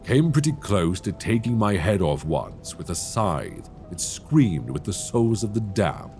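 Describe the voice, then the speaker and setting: Male actor as an ancient, towering dragon for an ASMR roleplay; low, unhurried, seductive and menacing, close binaural mic. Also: big pitch swings, a little mellow, faint music behind. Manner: slow, intimate, coaxing and menacing.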